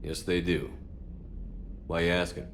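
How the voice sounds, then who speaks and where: A man's voice: deep voice, little pitch movement, unhurried, a little hiss or hum. A man playing a steadfast space military commander in a video game, speaking in a firm, direct, resolute way. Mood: calm, direct. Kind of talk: video game dialogue acting.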